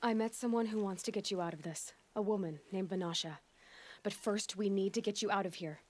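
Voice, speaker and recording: A woman's voice: medium pitch, a little fast, darkish tone, lightly acted. An actress playing a young, plain-spoken hunter in a video game, direct and earnest in her delivery. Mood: determined. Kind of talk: dramatic video game acting.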